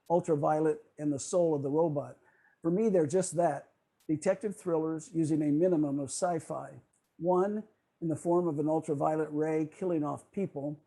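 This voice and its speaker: A man presenting a prepared history lecture to a club over a video call, explaining in a formal, measured way. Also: on the high side, warm tone.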